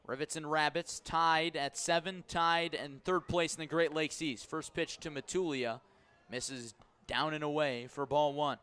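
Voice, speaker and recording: A man calling a baseball game live on radio as play-by-play announcer, measured between pitches and lifting his voice on the action. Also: clean tone. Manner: measured narration rising to excited shouts on key plays. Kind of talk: live sports commentary.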